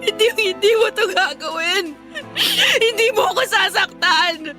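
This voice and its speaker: Very high-pitched betrayed wife, anguished, accusing, radio drama, music underneath. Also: female voice, unhurried. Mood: hurt, tearful. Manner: emotional, pleading and accusing delivery. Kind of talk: Filipino radio drama acting.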